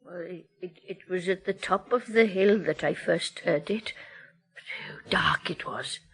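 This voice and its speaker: An actress playing a frightened, superstitious Welsh countrywoman who tells her tale haltingly, in a radio drama. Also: fairly low pitch, husky. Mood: awed, fearful. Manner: halting, drawn-out storytelling.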